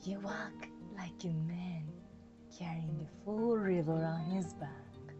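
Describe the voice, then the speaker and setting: A woman voicing a kindly, knowing old village healer in a breathy whisper, as in a storybook. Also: on the low side, slow pace, highs cut off. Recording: music under the voice.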